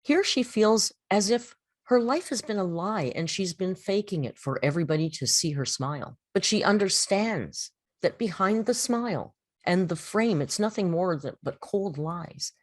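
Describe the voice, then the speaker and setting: A woman giving a dramatic poetry reading and commentary, with a theatrical, deliberate, grandiose delivery. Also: mid-range voice, expressive, no room sound, studio quality. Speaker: host reading and critiquing a poem. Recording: music under the voice. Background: background music.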